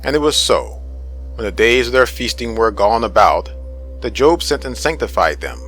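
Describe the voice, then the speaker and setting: A man's voice: mid-range voice, very animated, normal speed, a bit bright, soft background music, low-quality recording. A man narrating scripture as a dramatic reading.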